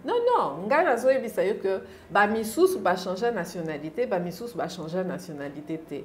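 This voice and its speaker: A woman's voice: medium pitch, brisk, a little hiss or hum. A middle-aged woman from the Congo, answering as a guest in a TV studio interview, insistent and gesturing as she talks. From Democratic Republic of the Congo. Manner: animated, gesturing with her hands, insistent. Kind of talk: studio interview, mixing French and Lingala. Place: TV studio, indoors.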